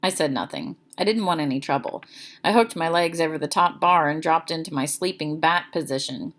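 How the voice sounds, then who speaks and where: A woman's voice: sits low, fairly quick, fairly bright tone. A woman reading a children's chapter book aloud as narrator, expressively.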